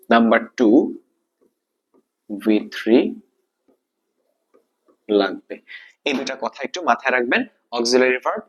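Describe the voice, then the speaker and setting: A man with a Bangladeshi accent teaching an exam-prep grammar lesson, repeating key points and asking checking questions. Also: medium pitch.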